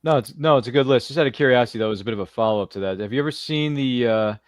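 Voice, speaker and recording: Male podcast host. Fast, effusive, playful, conversational. Close dry sound, medium pitch. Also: no echo. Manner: chatty, jokey, effusive. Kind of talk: casual live interview chat.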